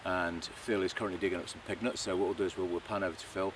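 Middle-aged lancashire guy teaching bushcraft in a field, deep voice, relaxed and chatty, faint outdoor hiss behind him. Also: racing. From England. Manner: relaxed, explanatory, with frequent fillers and restarts. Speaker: bushcraft instructor. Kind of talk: casual outdoor demonstration. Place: outdoors in a grassy meadow by woodland. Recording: faint outdoor background noise.

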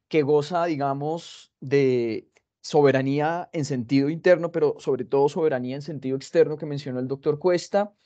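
Halting man moderating a video panel. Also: fairly high pitch, mellow. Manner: halting, many fillers and restarts. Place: high-rise office with city view. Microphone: wired earphones on a video call. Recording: dull lo-fi video call audio.

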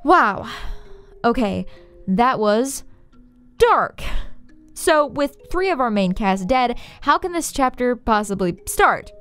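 A woman's voice: fairly high pitch, sing-song, slow pace, a bit bright, smooth. A woman hosting a scripted video essay, narrating in a wry, playfully sarcastic way. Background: faint background music.

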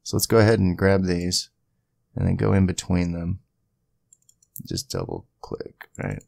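A man giving a casual screen-capture software tutorial, thinking aloud informally as he goes. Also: sits low, sing-song, a little slow, vocal fry, husky, dry recording, clean studio sound. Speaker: tutorial host.

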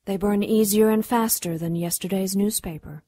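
A woman's voice: medium pitch, fairly even intonation, normal speed, dark tone, no room sound, close to an everyday voice. A woman playing an imperious, seductive demon in a radio drama, haughty and menacing. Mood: silky, sly.

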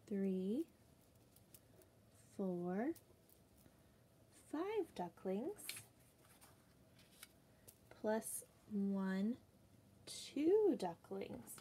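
Young woman teacher, storytime for little kids, patient and soft-toned, faint hiss behind. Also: medium pitch. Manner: slow, patient, sing-song counting. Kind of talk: reading a picture book aloud and counting for young children. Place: small room indoors.

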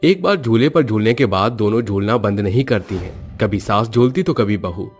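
A man's voice: fairly low pitch, lively intonation, normal speed, soft background music. A man narrating a scripted story as its storyteller.

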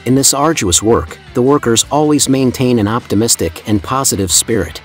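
A man's voice: mid-range voice, moderate pace. A man reading a scripted documentary voice-over in a formal, admiring, evenly paced way. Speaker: narrator. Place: voice-over, not the pictured building site. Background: soft background music.

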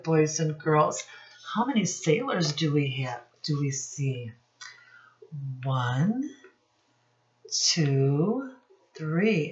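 Middle aged lady teacher doing storytime for little kids, slowish, kinda dull webcam audio. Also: low voice. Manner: instructive, addresses children directly, pauses to point things out. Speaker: early childhood teacher reading aloud. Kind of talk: children's storybook read-aloud with questions to young viewers. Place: small room at home, indoors.

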